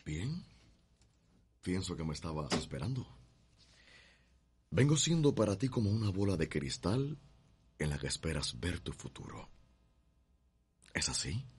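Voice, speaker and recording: An actor with a Cuban accent playing an imperious, menacing, contemptuous gentleman husband in a radio soap opera. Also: sits low, sing-song, unhurried, long pauses, slight background noise. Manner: commanding, threatening.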